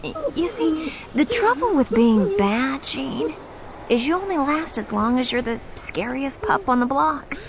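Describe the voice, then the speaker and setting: Teasing, high-pitched superhero girl. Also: very animated, full character voice. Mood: mocking menace. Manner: teasing, mock-sweet, drawn-out taunting. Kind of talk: audio drama acting. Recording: noisy audio drama clip with ambience.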